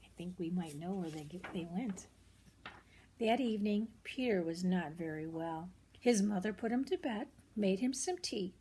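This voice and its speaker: Mellow older woman reading a picture book aloud. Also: medium pitch, animated, moderate pace, slight background noise. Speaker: storyteller reading to children.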